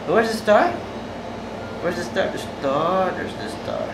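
A man's voice: high voice, lively intonation, slow. A man, playfully reading and explaining a picture book to a toddler. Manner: simple, playful child-directed explaining. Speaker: father. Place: small bedroom, indoors. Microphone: camera a short distance away. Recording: distant, echoey room with loud noise.

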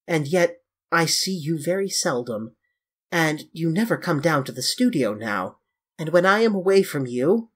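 A male reader plays a grave, concerned gentleman in a storybook. He speaks in a solemn, measured, pleading way, with a husky edge and expressive pitch movement. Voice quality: deep. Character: grave, earnest, concerned English gentleman painter.

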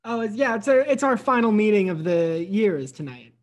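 Animated young woman chatting casually. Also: medium pitch, fairly quick. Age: young adult. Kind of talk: casual chat. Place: indoors on a group video call.

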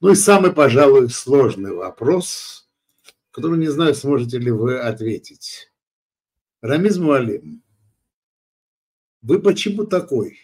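A man from Georgia hosting an interview, reading out viewers' questions with a brisk, friendly, joking manner. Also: mid-range voice, very animated, a little mellow, slightly rough. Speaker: interviewer host.

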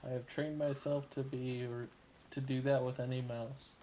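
A man with a booming voice talks his way through a game stream, loose and rambling, cursing casually. Faint game ambience sits under his voice. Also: flat delivery, moderate pace, warm tone, a little hiss or hum, rough recording. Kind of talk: casual gaming stream chat.

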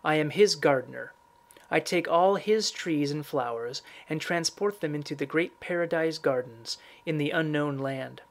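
A man's voice: on the high side, normal speed, vocal fry. A grave storybook reaper, played by a male narrator, stern and formal. He makes firm declarations in a slightly raised male voice, as the gardener of human lives.

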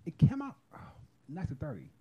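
A man's voice: a little high, wildly swooping pitch, quick pace, mellow, a little hiss or hum. A man co-hosting a casual podcast chat, rambling in a laid-back, profane way, streamed live from a phone. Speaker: podcast co-host. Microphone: phone livestream.

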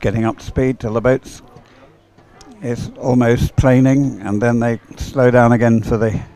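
A male sports commentator with a mid-pitched voice calls a race live. He speaks in short, unhurried phrases, often halting briefly before carrying on. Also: flat delivery, dark tone.

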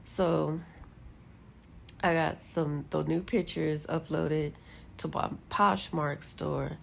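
A middle-aged woman with a low, gravelly voice and a congested, stuffy sound, chatting to her phone while sitting on a bed. She talks in a relaxed, candid way and now and then jumps up in exasperation. A faint hiss sits behind her. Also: slow pace. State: congested from allergies. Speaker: vlogger. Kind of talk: casual chat to camera. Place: bedroom, sitting on a bed indoors. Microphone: phone camera held close.

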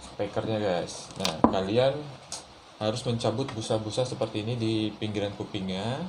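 A man giving a casual hands-on tutorial, explaining step by step in an informal, halting way. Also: on the low side. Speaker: motorcycle vlogger presenting a how-to. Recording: noticeable background noise, room ambience.

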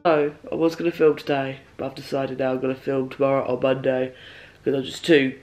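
A woman's voice: very deep voice, flat delivery, a little fast, darkish tone, soft background music, low-quality recording. A young woman vlogging casually to a handheld camera, congested and with a sore throat, chatty and rambling. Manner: chatty, bubbly, rambling with many "like"s. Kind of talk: casual vlog chat to camera. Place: at home indoors and in a car. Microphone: handheld camera close to face.

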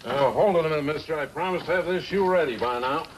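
An actor playing a plain-spoken, wary frontier blacksmith in a 1950s radio western, with a folksy manner. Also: male voice, on the high side, fast, close to an everyday voice. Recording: noisy vintage 1950s radio recording.

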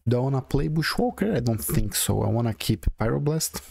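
A man's voice: mid-range voice, lively intonation, brisk, vocal fry, darkish tone, breathy edge, dry recording, clean studio sound. A man in his forties with a strong Brazilian Portuguese accent, chatting and thinking aloud as he comments on his own card game. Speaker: gaming video creator. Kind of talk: casual gameplay commentary.

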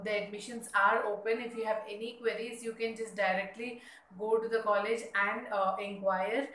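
A woman's voice: medium pitch, slight background noise. Woman explaining in an echoey room. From India. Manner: steady explanatory, frequent "uh" fillers, gestures with hands. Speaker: education channel host. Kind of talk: informational vlog. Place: small indoor room. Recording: echoey room with faint ambience.

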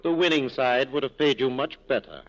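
An actor playing a wryly corrupt police captain with an urbane, teasing, courtly manner, in 1940s radio style. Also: male voice, medium pitch, brisk, natural voice. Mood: wry, self-assured. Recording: muffled 1940s radio broadcast recording.

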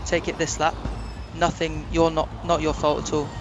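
Man, race engineer, very monotone, slightly high pitch, radio effect, noisy link. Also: moderate pace. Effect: team radio. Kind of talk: brief radio instructions. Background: car and ambient noise.

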